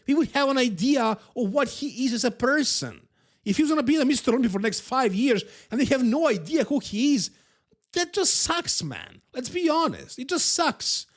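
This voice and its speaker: A man from Serbia with a Serbian accent, a native Serbian speaker, hosting a casual bodybuilding commentary and giving his emphatic, opinionated take with frequent repeats. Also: extremely high pitch.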